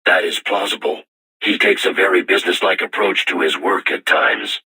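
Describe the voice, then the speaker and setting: A young but learned robot weapon for a video game. The voice is very deep, piercingly sharp and heavily distorted by machine processing. It speaks in formal, measured, stilted phrases with a solemn temper. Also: male voice, breathy edge, full character voice. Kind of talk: scripted video game voice acting.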